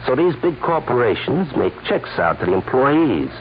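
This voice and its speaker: A man's voice: medium pitch, sing-song, normal speed. An actor playing a sly, smug holdup man with coy hesitations and chuckles in a 1940s radio crime drama. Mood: scheming. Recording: muffled, hissy 1940s radio recording.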